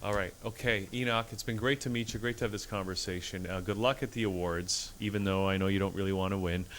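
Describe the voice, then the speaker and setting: A male talk show host with a fairly low voice and an upbeat, easygoing delivery. He steers the chat toward links and breaks, with a faint hiss behind him. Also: steady tone, quick pace. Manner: upbeat, wraps things up and plugs links. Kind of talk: casual interview chat.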